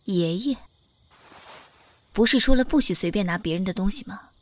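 A woman's voice: medium pitch, normal speed, natural voice. A woman playing a composed, mature young mother in an audio drama, reserved and a little strict. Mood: probing. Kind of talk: modern audio drama acting.

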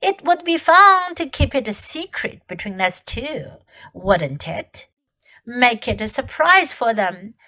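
Woman reading as a scheming witch queen, smooth and wheedling, high bright voice, slow and deliberate, storybook. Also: big pitch swings, full character voice. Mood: coaxing. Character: cold scheming queen witch, sly and coaxing. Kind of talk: audiobook character voice.

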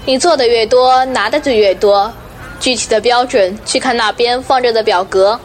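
A woman's voice: a little high, moderate pace, a faint crowd, a little hiss or hum, close to an everyday voice. A woman playing a businesslike handicraft employer in an audio drama, matter-of-fact. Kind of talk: Chinese audio drama acting.

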